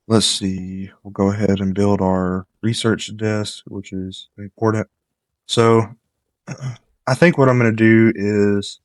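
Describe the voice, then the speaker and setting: Guy with a lowish voice doing laid-back gameplay commentary, kinda nasal from a cold, dry close mic. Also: dry recording. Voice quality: slightly nasal. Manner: relaxed, rambling, gives tips while playing. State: coming down with a cold. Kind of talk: casual let's-play commentary.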